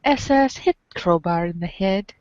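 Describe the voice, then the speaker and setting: Lady doing theatrical story reading on a podcast, medium pitch, close dry muffled recording. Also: animated, a little slow, darkish tone, no room sound. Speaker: podcast cast reader. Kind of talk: theatrical read-aloud narration.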